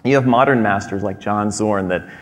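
A man in his thirties giving a prepared talk on a theater stage, measured and explanatory, with frequent fillers. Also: fairly low pitch. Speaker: presenter. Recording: long hall reverb.

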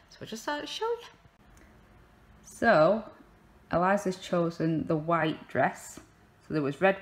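A chatty English woman in her thirties talks straight to a camera held at arm's length as she sits on her sofa at home. Her voice sits low, and her talk rambles easily along with plenty of ums. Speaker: vlogger. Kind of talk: casual chatty vlog. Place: living room sofa at home.

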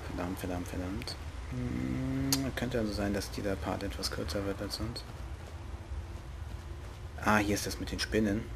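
A man's voice: fairly low pitch, steady tone, measured pace, creaky, long pauses, background noise. A young man with a regional German dialect, commenting casually and jokingly while he plays a game. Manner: joking, playful, talks to himself. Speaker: let's play host.

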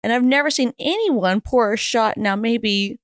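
An American woman with a smooth, clear voice talks casually as a podcast guest. She tells stories in a chatty, informal way full of "like". The sound is dry and close. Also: fairly high pitch, normal speed, dry recording. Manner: informal, chatty, peppered with "like" and mild swearing. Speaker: podcast guest, air traffic controller. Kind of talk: casual anecdotal storytelling. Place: small podcast studio, indoors. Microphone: close boom-arm podcast microphone.

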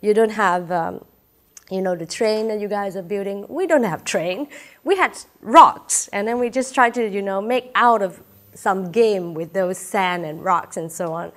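A woman's voice: mid-range voice, measured pace. Malaysian woman giving a chatty talk. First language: Chinese. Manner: chatty, personal, laughs often, frequent "uh" fillers. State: feverish. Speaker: keynote speaker. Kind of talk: inspirational talk. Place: lecture room with audience.

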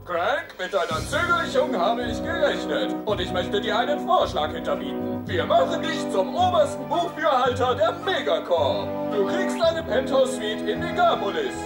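An actor voicing an excitable, eccentric male company boss in a video game, pompously bumbling through mangled big words. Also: very high-pitched, moderate pace, few pauses. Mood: persuasive, pompous. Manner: pompous, bumbling, malapropism-laden. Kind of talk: video game cutscene acting in German. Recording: echoey, music underneath.

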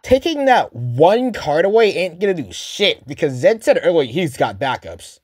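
Dry-recorded, animated, sarcastic commentator. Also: medium pitch, moderate pace, slightly rough, no echo. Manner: sarcastic, casual. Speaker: host, commentator. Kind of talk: scripted comedic cartoon critique.

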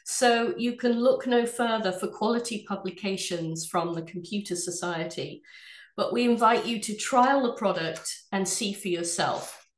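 A woman from England with an English accent, head of sales, presenting a webinar from her office in a measured, polished way. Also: mid-range voice, reverberant. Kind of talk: scripted product presentation.

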